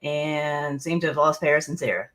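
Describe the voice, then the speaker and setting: A woman from South Carolina hosts a relaxed library talk show. She sounds playful, laughing easily between her lines. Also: sits low, normal speed. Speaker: host. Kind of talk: casual chat, library show.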